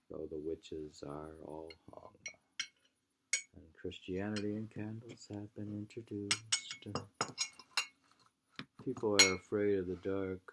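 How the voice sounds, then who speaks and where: An American man reading a literary book aloud in an unhurried, musing manner, often pausing to repeat or mull over phrases. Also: low voice, vocal fry, background noise. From New England, United States. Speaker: reader.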